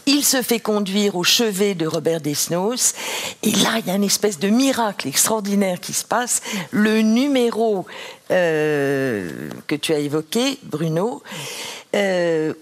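A middle-aged woman, a novelist on a panel, telling a story in a lecture hall with many restarts, speaking into a headset mic. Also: medium pitch. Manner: animated, gesturing, many restarts. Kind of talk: storytelling in a public talk.

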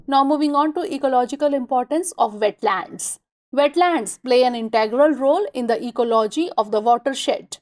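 A woman with an Indian English accent reads scripted lesson notes over slides in an even, measured way. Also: high-pitched. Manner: even, measured reading of list items. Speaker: exam-prep lecturer.

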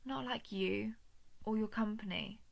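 A woman voicing a coldly menacing, falsely gentle alien parasite in an intimate horror audio roleplay, condescending, often slipping toward a breathy whisper. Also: mid-range voice. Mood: calm menace. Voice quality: creaky, breathy with frequent whispering. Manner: measured, condescending, menacing coaxing.

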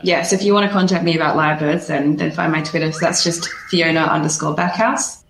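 Lowish woman guest chatting casually, really roomy echo. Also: steady tone, fairly quick, vocal fry, fairly bright tone, a little hiss or hum. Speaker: researcher guest. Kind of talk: casual chat. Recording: echoey room.

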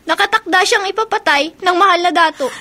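An actress playing an urgent, deferential handmaid to a princess in a radio drama, speaking respectfully. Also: female voice, very high-pitched, brisk, sharp tone. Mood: respectful, worried. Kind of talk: fantasy radio drama acting.

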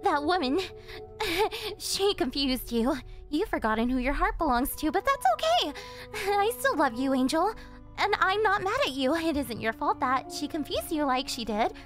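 A woman's voice: sits high, very animated, normal speed, fairly bright tone, faint music behind. A woman playing an obsessive, possessive young yandere girlfriend in an ASMR roleplay, with a cloying, coaxing manner that carries a sweet menace. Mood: condescendingly soothing. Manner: cloying, coaxing, with breathy giggles and gasps.

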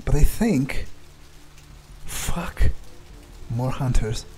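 A man improvising in-character game narration, halting and sweary as he mutters to himself. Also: medium pitch, lively intonation, darkish tone, slight background noise, low-quality recording. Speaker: player role-playing his game character.

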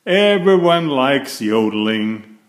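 A man reading a picture book aloud to young children in an expressive, deliberate storytelling manner. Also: medium pitch. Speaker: picture-book reader and author of children's stories.

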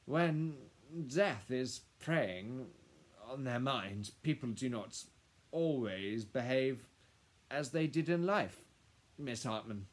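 A man's voice: medium pitch, lively intonation, slow pace, voice pushed up. A man voicing a nervous, deferential lawyer who speaks in a fussy, hesitant, officious way in a storybook. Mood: hesitant, formal.

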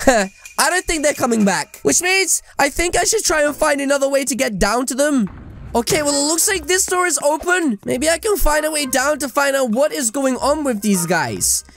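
A man's voice: extremely high pitch, animated, moderate pace, fairly bright tone, faint music behind. A man in his twenties doing playful, exclamatory game commentary while role-playing as a naive kid. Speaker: gaming video host. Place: small home gaming room.

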